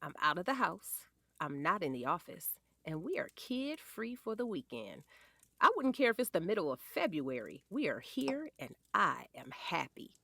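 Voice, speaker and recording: A woman plays a fond middle-aged wife and mom in an audio drama. She is sassy and playful, with very animated pitch in a fairly low voice, recorded dry. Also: moderate pace, vocal fry, no room sound, full character voice.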